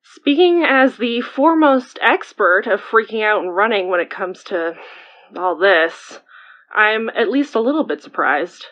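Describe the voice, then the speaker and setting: A woman's voice: mid-range voice, expressive, measured pace, fairly bright tone, highs cut off. An actress playing a casual, teasing, supportive female friend in a naturalistic audio drama. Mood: probing, teasing.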